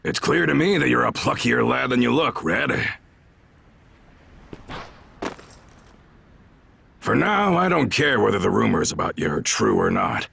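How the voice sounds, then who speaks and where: An actor playing a gruff, sly, commanding brigand leader in a video game, with a husky, gravelly voice and a folksy, teasing drawl. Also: male voice, medium pitch, very animated, moderate pace, pushed and bright, full character voice. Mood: amused, commanding. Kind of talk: video game cutscene acting.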